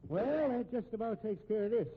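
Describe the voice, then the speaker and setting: Folksy, higher-voiced old-radio policeman. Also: animated, normal speed, slightly rough. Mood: searching, affable. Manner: folksy, avuncular turns of phrase. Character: kindly, folksy middle-aged policeman, soothing and dogged. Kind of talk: 1950s radio drama acting. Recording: tinny, hissy 1950s radio recording.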